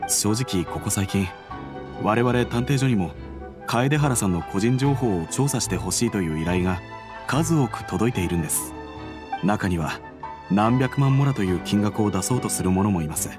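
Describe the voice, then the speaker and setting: A man plays a courteous detective from an anime game. His voice is on the low side, and he explains things with formal, deferential care. Soft music plays faintly behind him. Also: expressive, normal speed, lightly acted. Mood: respectful, earnest. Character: polite, earnest male detective agency employee. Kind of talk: Japanese anime game dialogue.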